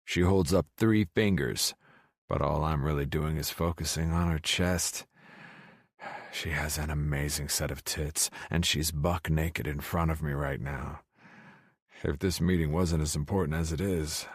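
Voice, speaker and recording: Very deep male romance narrator. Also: measured pace, slightly rough, no room sound, studio quality. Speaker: audiobook narrator. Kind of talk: first-person romance narration.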